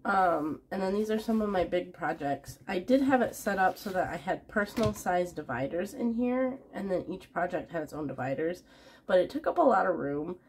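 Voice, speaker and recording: A woman's voice: lowish voice, animated, moderate pace, a little mellow, breathy edge. A young woman recovering from a cold, chatting casually through a planner flip with lots of ums and restarts, recorded at home. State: getting over a cold. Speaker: planner vlogger. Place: home, at a desk.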